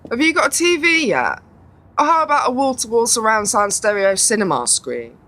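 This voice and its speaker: Woman acting a sarcastic, high-pitched teenage granddaughter. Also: very animated, normal speed, pushed and bright. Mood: sardonic. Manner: sardonic, dismissive. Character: sarcastic, sceptical, bored teenage granddaughter about to leave for university. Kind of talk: radio play acting.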